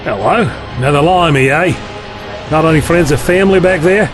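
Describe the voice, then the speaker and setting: A man with an Australian accent acting a gruff, mocking desert bar owner in an audio drama, blunt and slangy. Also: fairly high pitch, brisk, natural voice. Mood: sardonic, blunt. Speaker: actor. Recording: loud music bed behind the voice.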